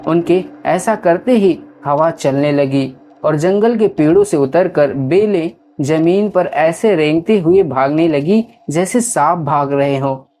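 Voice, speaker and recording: A woman's voice: low-pitched, takes it slowly, dark tone, slight background noise. A woman storyteller reading scripted horror story narration. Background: music.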